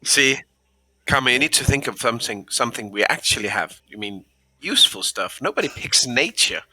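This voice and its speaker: Bright-voiced joking man in his thirties. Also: medium pitch, animated, a little fast, slightly rough, no room sound, rough recording. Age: 30s. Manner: joking, teasing, wordy. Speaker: player. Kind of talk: casual chat between tabletop game turns.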